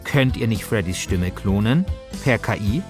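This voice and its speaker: Male podcast narrator over clear background music. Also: fairly low pitch, lively intonation, measured pace. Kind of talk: scripted narration, colloquial.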